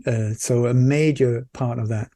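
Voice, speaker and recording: Mellow-voiced man from Sweden telling a story. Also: medium pitch, fairly even intonation, a little slow, dry recording. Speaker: interview guest, researcher and author. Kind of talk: conversational storytelling in an interview.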